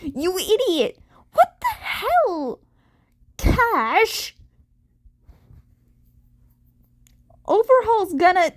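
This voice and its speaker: A woman's voice: very high-pitched, very animated, very slow, dry recording, clean studio sound, voice pushed up, exaggerated voice. A woman voicing panicky small-time criminals in a story reading, agitated and exclaiming. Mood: panicked.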